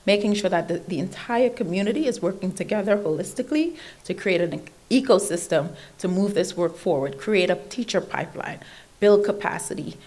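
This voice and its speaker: A woman from Trinidad with a Trinidadian accent, giving an animated motivational speech at an event, building emphatic lists and laughing often. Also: medium pitch, fairly quick. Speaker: keynote speaker. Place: event stage.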